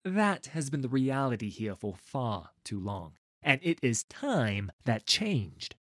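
A man acts out a charismatic young male rebel leader addressing followers in an audiobook. The delivery is deliberate and persuasive, with wide, expressive pitch movement and a dry, close sound. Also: dry recording. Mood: gentle, welcoming. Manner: persuasive, deliberate, impassioned speechmaking. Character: gentle young male cult leader turning fierce orator. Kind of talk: dramatised audiobook dialogue.

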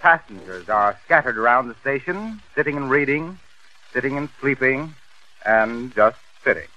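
A man with an American accent announcing a 1930s radio show in a polished, salesmanlike way, reading scripted pitches and scene-setting. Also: lively intonation, normal speed, sharp tone, faint music behind. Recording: muffled, noisy 1930s radio recording.

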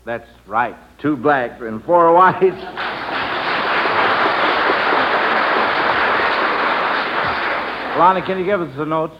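A man's voice: fairly high pitch, animated, extremely slow, long pauses, pushed and bright. A man hosting an old-time radio quiz for children, chuckling and patiently prompting the contestants. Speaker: quiz show host. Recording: dull, noisy old radio recording.

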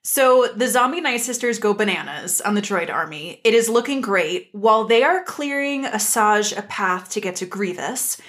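A woman's voice: mid-range voice, expressive, normal speed, few pauses, fairly bright tone. A woman co-hosting a podcast, giving an enthusiastic, casual story recap in a playful, slangy way. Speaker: podcast co-host.

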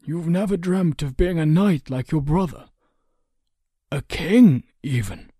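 A man playing a careful, persuasive guest in an audio story, measured but emphatic and fierce when challenged. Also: a little high, animated, mellow, husky, dry recording, studio quality. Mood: gently probing.

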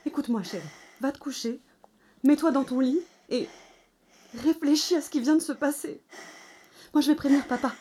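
A woman's voice: high-pitched, normal speed, pauses often, dark tone, lightly acted. An actress in an audio drama playing an anxious, loving young mother who scolds her child, in a naturalistic style. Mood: tender, weary. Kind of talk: naturalistic audio fiction acting.

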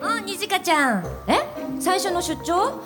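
Casting: encouraging senior female colleague, high expressive voice, radio-play style with music behind. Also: measured pace, exaggerated voice. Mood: encouraging, reflective. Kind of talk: live radio drama acting.

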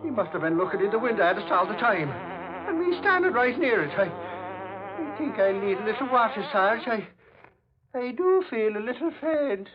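An actor playing an excitable, easily frightened newspaper man with a blustery, exclamatory manner, in 1940s radio style. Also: sits high, moderate pace, a crowd in the background. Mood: faint with fright. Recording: dull lo-fi old radio recording.

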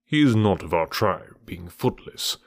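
A man's voice: sits low, expressive, a little slow, gravelly, no echo, exaggerated voice. A man doing a stern scornful panther.

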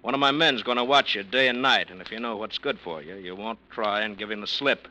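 An actor playing a tough, wry American plainclothes detective who talks in pointed slang in an old radio crime drama. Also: male voice, on the low side, fairly quick, pushed and bright. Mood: needling, warning. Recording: faintly noisy old radio recording.

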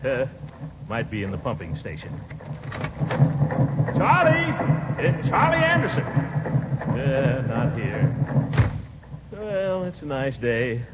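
An actor playing a pompous, lovesick middle-aged bachelor, blustering and sighing in 1940s radio comedy style. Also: male voice, medium pitch, huge pitch swings, takes it slowly, pauses often. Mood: idle, dreamy. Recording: muffled, noisy 1940s radio recording.

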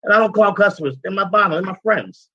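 A man's voice: sits high, racing, breathy edge, no echo, highs cut off. A man, talking casually as an interview guest about his cigar business, rambling with many "you know"s. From Guyana.

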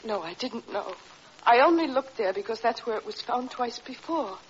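An actress playing an anxious, fragile wife in an old radio drama, earnest and hesitant. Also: female voice, fairly high pitch, expressive, moderate pace, natural voice. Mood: anxious, pleading. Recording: dull, noisy vintage radio recording.

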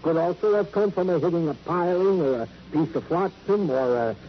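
An actor playing a fussy, pompous American police sergeant sidekick, speaking with comic formality in 1950s radio style. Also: male voice, fairly high pitch, moderate pace, natural voice. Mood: officious. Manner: pompous, mock-formal, officious. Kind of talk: 1950s radio drama acting. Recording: dull, noisy vintage radio recording.

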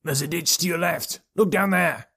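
A man voicing a rough, bullying henchman in an audiobook adventure, barking out shouts during a hunt. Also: on the high side, animated, moderate pace, pitched above the performer's own voice, heavily acted. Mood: searching. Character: rough, bullying henchman hunting runaway children.

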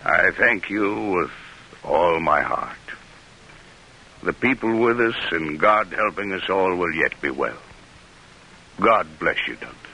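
Homespun statesman, low voice, very animated pitch, wry and humble, vintage radio play, hissy recording. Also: takes it slowly, gravelly, pauses often, heavily acted, rough recording. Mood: moved, sincere. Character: genial, humble, folksy president-elect, plain-spoken and wry. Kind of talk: old-time radio drama acting.

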